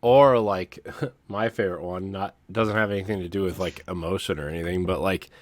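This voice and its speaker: Low-pitched man chatting candidly. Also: steady tone, no echo. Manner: halting, hedging delivery. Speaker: podcast co-host. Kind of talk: casual, candid chat.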